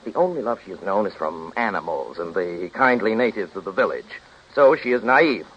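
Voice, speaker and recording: A man's voice: sits low, expressive, normal speed, fairly bright tone, slight background noise. An actor playing a self-important, bombastic Hollywood studio boss in a 1930s radio comedy, domineering like a salesman. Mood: pitching. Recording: dull vintage 1930s radio recording.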